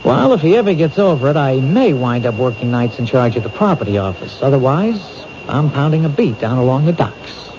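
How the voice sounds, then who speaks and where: An actor playing a firm, earnest police detective. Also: male voice, mid-range voice, sing-song, a little fast, few pauses, lightly acted. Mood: concerned. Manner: firm and reassuring. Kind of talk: 1970s radio drama acting. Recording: muffled old radio broadcast with hiss.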